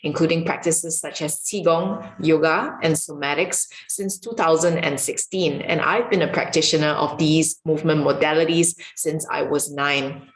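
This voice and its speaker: Woman, low voice, calm explanatory teacher, online lesson, echoey home room. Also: normal speed, hardly any pauses. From Singapore. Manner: calm, explanatory, with filler ums. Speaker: qigong and yoga teacher. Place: home room with marble floor. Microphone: camera mic, video recording.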